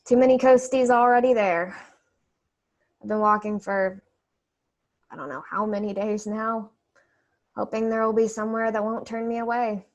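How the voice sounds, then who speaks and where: High-voiced wary young refugee woman played by an actress. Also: steady tone, moderate pace, long pauses, muffled recording, lightly acted. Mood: hesitant, grateful. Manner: hesitant, polite Southern phrasing. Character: wary young woman, hungry refugee from Alabama, earnest, grateful. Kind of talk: staged play reading.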